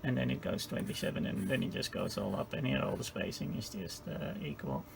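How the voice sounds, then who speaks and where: Very creaky-voiced man explaining electronics. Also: medium pitch, sing-song, background noise. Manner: halting, searching for words mid-sentence. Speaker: presenter of a radio repair tutorial. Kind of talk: informal hands-on technical explanation.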